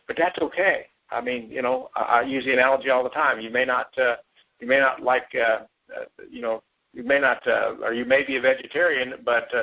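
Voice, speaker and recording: An American man giving an enthusiastic, salesy pitch on a conference call, repeating words for emphasis. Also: bright, forceful tone, poor audio. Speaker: company executive addressing network marketers.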